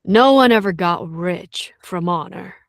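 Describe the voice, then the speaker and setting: A woman's voice: fairly low pitch, animated, no room sound, clean studio sound. A woman voicing a smug knight commander. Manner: smirking, drawn-out taunting delivery.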